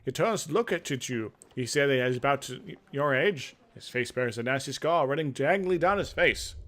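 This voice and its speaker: A man's voice: medium pitch, animated, moderate pace, fairly bright tone. A man narrating a video game playthrough, mixing casual, hesitant, wry asides with dramatic reading of the text.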